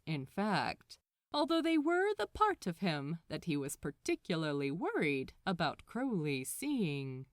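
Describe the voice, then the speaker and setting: A woman narrates fiction in an expressive audiobook style. She takes her time, with lively rises and falls in a mid-pitched voice, and the sound is dry. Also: dry recording.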